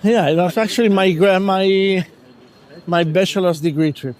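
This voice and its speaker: A man's voice: high-pitched, moderate pace, a little mellow. A man, a magazine editor answering questions casually and hesitantly. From Italy. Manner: hesitant, many fillers and restarts. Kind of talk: casual interview.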